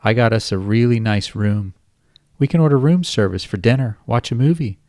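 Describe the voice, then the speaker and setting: A man voicing a grown, smooth-talking man who coaxes and soothes. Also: mid-range voice, moderate pace, a little mellow, no room sound, clean studio sound, pitched above the performer's own voice, natural voice. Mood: coaxing. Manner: coaxing, soothing.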